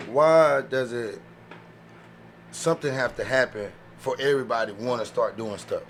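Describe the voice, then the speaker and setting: A man's voice: mid-range voice, unhurried, fairly bright tone, slight background noise. A man talking casually and with opinions in a group chat, slangy and acting out what people say. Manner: slangy, conversational, quotes imagined people. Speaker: podcast panelist.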